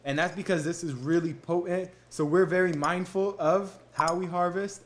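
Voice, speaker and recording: This is a young man hosting a live stream in an easygoing, chatty way. His voice sits a little higher than most men's, and he stops often to read out comments and thank viewers. His speech is loose and casual, with fillers between answers. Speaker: livestream host answering viewer questions.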